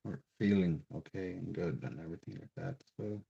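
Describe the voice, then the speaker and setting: A man with a Swedish accent, native Swedish, chatting slowly and hesitantly on a crafting live stream, with frequent restarts. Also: low-pitched, animated, vocal fry, mellow, breathy edge. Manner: slow, hesitant, rambling with many restarts. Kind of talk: casual live-stream chat while crafting. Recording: dull lo-fi stream audio.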